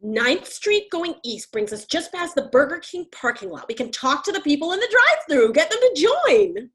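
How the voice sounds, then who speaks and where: A woman plays a practical, wry female activist in an online comedy. Her voice is high and very animated in pitch. She talks casually, with a dry needling edge. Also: moderate pace. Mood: upbeat, planning. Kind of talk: comedic stage acting over video call. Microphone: video call microphone.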